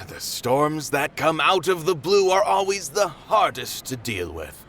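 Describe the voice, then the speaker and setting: A man's voice: fairly high pitch, very animated, slow, a bit bright, a little hiss or hum, full character voice. A man voicing a tired, resigned theater troupe leader in a video game.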